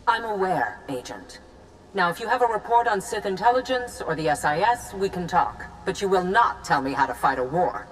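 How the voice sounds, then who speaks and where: A stern female chancellor from a video game, acted by a woman, commands with cold condescension. Her lowish voice moves through wide, pointed inflections. It carries a long hall reverb. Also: expressive, normal speed, a little hiss or hum, lightly acted.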